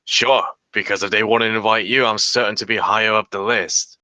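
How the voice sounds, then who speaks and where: Man acting a sharp, forceful, grudging informant. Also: lowish voice, steady tone, normal speed, no room sound. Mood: grudging, knowing. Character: jumpy, grudging male underworld informant, the Hatter. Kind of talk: scripted table read, crime drama.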